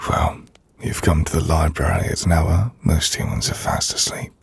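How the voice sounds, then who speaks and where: A man playing an ancient, brooding vampire lord in an intimate ASMR roleplay, speaking formally and drily in a gravelly, breathy near-whisper. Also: extremely low pitch, very animated, heavily acted. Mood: dry teasing. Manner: formal, measured, dry. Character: ancient brooding vampire lord, dryly teasing, courteous host.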